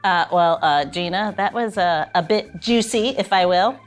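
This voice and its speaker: A woman's voice: fairly low pitch, normal speed. Woman podcast co-host over music. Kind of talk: casual banter and show announcements.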